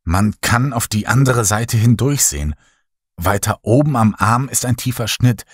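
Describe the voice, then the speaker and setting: A man narrating a horror story in the first person, dramatic and lively. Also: mid-range voice, normal speed, husky, no room sound, studio quality. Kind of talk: horror audiobook reading.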